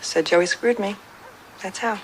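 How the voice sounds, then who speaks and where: An actress plays a hard-bitten woman in her fifties from an audio drama. She is sardonic, blunt and defiant. Her pitch is middling, and noisy ambience sits behind her. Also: unhurried, lightly acted. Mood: bitter, weary.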